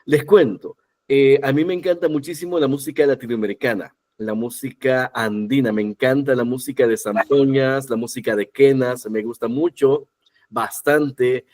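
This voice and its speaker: A man from El Salvador, a guest speaker and university teacher, talking warmly and enthusiastically from his home. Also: medium pitch, normal speed. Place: his home near a church.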